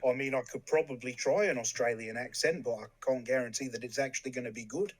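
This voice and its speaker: A young man with an English accent, a guest in a casual chat, relaxed with lots of ums and dry humor. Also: medium pitch, fast. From England. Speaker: podcast guest.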